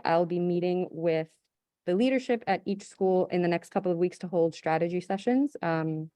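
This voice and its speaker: A woman's voice: lowish voice, warm tone, smooth, no echo. A woman giving an upbeat report as a school liaison over a video call. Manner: upbeat and enthusiastic. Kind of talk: meeting report.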